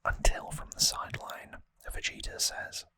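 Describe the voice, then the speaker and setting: Asmr host whispering a recap, breathy n bright, super close mic, casual explaining. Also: moderate pace, no room sound. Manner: soft, informal explaining. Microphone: close microphone, dry sound.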